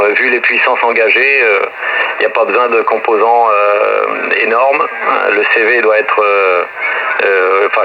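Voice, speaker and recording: A man's voice: fairly low pitch, gravelly. A man heard over a muffled, noisy shortwave radio, chatting casually and a little hesitantly as a ham operator. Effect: shortwave radio transmission.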